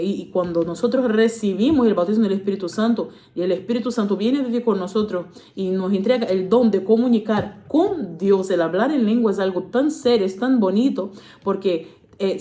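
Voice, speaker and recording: A woman preacher whose first language is Portuguese, speaking earnestly with a mellow, warm tone. She stumbles on fillers and corrects herself as she goes. The room has a noticeable echo. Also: mid-range voice. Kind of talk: informal preaching to camera.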